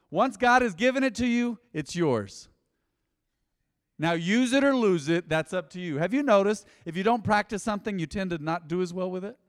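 A man preaching a sermon as pastor, animated and conversational, with frequent asides to the congregation. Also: a little high, big-room sound. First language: English. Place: church.

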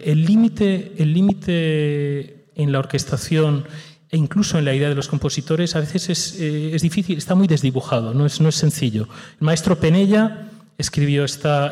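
A man's voice: fairly high pitch, dark tone. A man serving as music director on a post-show panel, speaking thoughtfully, with frequent hesitant restarts. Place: theater stage or hall. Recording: reverberant hall.

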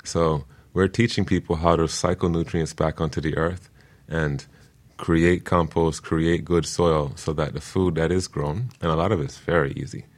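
A man speaking as an expert guest in an interview, explaining things in an earnest, deliberate way. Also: very deep voice, steady tone. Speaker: guest expert, permaculture teacher.

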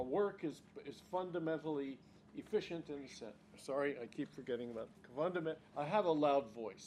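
Halting man chairing, faint background noise. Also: medium pitch, a little mellow, low-quality recording. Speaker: session chair introducing a speaker. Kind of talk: spoken conference introduction.

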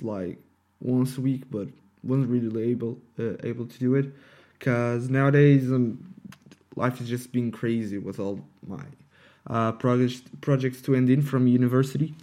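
A young man of nineteen talks alone on a personal podcast in English with a Portuguese accent, his native language. His pace is slow and halting as he searches for words. The pitch sits in a middle male range. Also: mellow. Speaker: podcast host. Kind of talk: unscripted personal podcast monologue.

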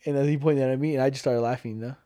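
A man's voice: medium pitch, steady tone, very fast, mellow. A young man with a smoky voice, co-hosting a podcast in casual chat, rambling with lots of fillers and slang. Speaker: podcast co-host.

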